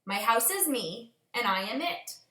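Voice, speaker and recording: A dreamy, unbothered man from a children's storybook, read by a woman in her own highish voice. He states things plainly and with self-assurance, in a room with noticeable echo. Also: expressive, measured pace, pushed and bright. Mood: proud. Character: contented, unbothered man, dreamy and sure of himself. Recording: echoey room.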